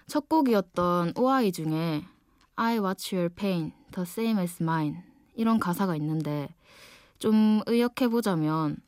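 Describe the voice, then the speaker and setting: A woman's voice: lowish voice, a little mellow, dry recording, clean studio sound. A twenty-year-old woman with a Gyeongsang accent from Ulsan, hosting a late-night radio show as a candid, reflective personal monologue. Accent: Gyeongsang (Ulsan) Korean. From Ulsan, South Korea. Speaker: guest late-night radio DJ, design student.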